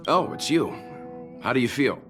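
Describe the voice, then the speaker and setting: An actor playing a polite, kindly man in a video game, courteous and calmly cautioning. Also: mid-range voice, moderate pace, natural voice. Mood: friendly. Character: polite, measured, kindly man aboard a space train. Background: guitar music.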